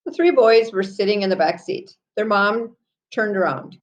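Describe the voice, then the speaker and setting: A woman reading a children's story aloud with deliberate storytelling phrasing. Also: medium pitch.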